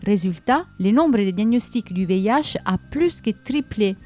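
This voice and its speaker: A woman's voice: mid-range voice, expressive, normal speed, darkish tone, soft background music. A woman hosting a radio segment, reading listener personal ads and short news in a friendly, conversational way with frequent hesitations. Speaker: radio show host.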